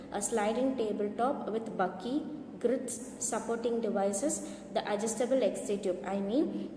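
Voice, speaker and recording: A woman lecturer in radiography, reading a lecture carefully from notes with small slips. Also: fairly high pitch. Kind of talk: read-out lecture. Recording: echoey room with long reverb, faint noise.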